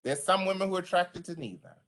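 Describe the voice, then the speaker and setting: A woman with a deep voice giving an online training to service providers, speaking passionately and conversationally. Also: expressive, fast talker. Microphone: video call.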